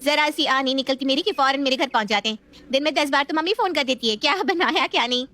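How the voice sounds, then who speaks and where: Girl voice actor as a spoiled young sister in a family story, chatty n proud, highish, talks fast. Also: bright, forceful tone. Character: young married woman, proud, chirpy, easily miffed pampered sister. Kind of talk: scripted family story acting.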